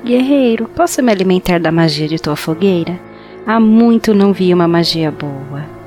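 A woman playing a tiny, hungry forest fairy in a fantasy audio drama, speaking in an earnest, pleading, gentle and formal storybook way. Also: fairly low pitch, expressive, normal speed, soft background music, lightly acted.

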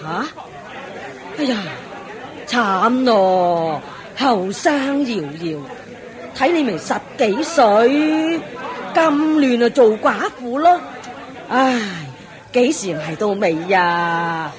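An actress playing a chatty, nosy older widow in a Cantonese radio drama, bossy and exclamatory with a Hong Kong accent. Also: female voice, fairly high pitch, expressive, very slow. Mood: nosy, pitying. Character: chatty brash older widow queuing for alms. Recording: busy crowd behind the voice. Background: crowd of people.

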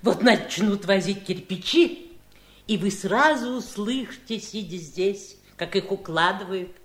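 An actress playing a caring, plain-spoken household servant in a radio drama. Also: female voice, medium pitch, unhurried, natural voice. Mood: wry storytelling. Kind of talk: 1970s radio drama acting. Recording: roomy 1970s radio studio recording.

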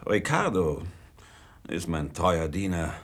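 Menacing, low-voiced gentleman villain. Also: sing-song, heavily acted. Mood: grandiose menace. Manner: formal, ornate, deliberate speech. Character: menacing gentleman villain, coolly polite and self-important. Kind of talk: German radio drama acting.

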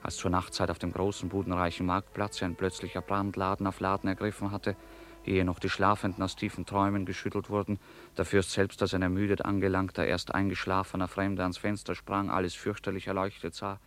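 A man narrating a literary radio play with measured, deliberate phrasing. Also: deep voice, monotone, a bit bright, a little hiss or hum.

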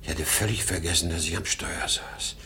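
A man's voice: low voice, fairly even intonation, moderate pace, pushed and bright. An actor playing an exhausted, rambling salesman past sixty, proud and erratic, in a vintage German radio drama. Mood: dreamy, troubled. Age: 60s. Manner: weary, rambling, given to boastful outbursts. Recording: noisy vintage 1950 radio recording.

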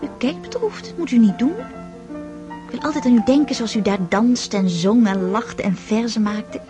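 A woman's voice: fairly high pitch, normal speed, close to an everyday voice. An actress in a radio drama playing a young countess, girlish, innocent and romantic, who repeats words in her eagerness. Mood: wistful, tender. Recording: music behind the voice. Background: music.

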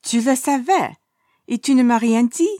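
A woman reading the part of a playful, nosy, dramatically indignant young man in a story, animated and mischievous. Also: a little high, unhurried, no room sound, clean studio sound, pitched above the performer's own voice, lightly acted.

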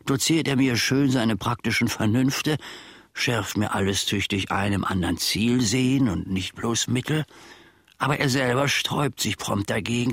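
An actor playing a self-important, grumbling old manservant and former soldier in a German radio play, musing theatrically in a husky, gravelly voice. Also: male voice, mid-range voice, a bit bright, no echo, studio quality. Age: older.

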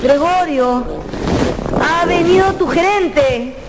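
Worried mother, radio drama; high, imploring, drawn-out delivery over noisy background. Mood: anxious. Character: worried, pleading mother of a grown son. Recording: clear background noise.

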